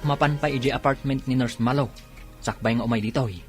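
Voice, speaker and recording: A man playing a loyal, concerned employee in an Ilocano audio drama, with a husky voice. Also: medium pitch, steady tone, quick pace, faint music behind, natural voice. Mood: urgent, concerned. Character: loyal, concerned male employee reporting to his mistress.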